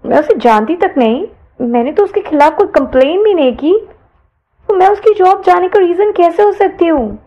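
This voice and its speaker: Audio story role: young college woman, voiced by a female narrator, high-pitched, bewildered, earnestly protesting. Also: lively intonation, moderate pace, highs cut off. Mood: hurt, protesting. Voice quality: high, lifted voice.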